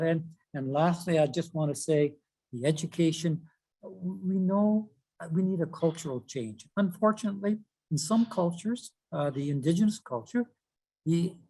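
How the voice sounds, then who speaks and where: A man, speaking as a webinar panelist over a video call, halting with many fillers as he lists examples. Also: fairly high pitch, darkish tone, rough recording. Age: 70s. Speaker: panelist for a retired teachers' group.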